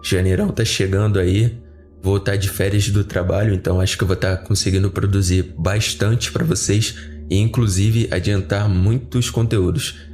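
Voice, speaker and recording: A man's voice: on the low side, steady tone, moderate pace, darkish tone, faint music behind. A Brazilian man with a slightly off, flu-affected voice, chatting informally and in a rambling way to his listeners as a podcast host. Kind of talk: casual chat to listeners.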